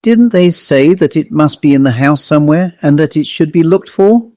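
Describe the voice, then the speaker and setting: A man's voice: on the high side, lively intonation, normal speed, warm tone, no echo, close to an everyday voice. A man voicing a cautious, disapproving genteel woman in a storybook reading, prim and conversational. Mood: recalling.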